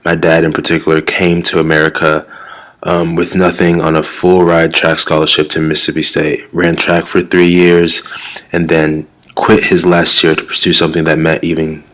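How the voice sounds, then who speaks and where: A man's voice: a bass voice, monotone, normal speed, a bit bright. A young man with a Nigerian accent talking casually and earnestly to camera in a fitness vlog, often repeating words as he searches for the next one. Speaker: fitness vlogger.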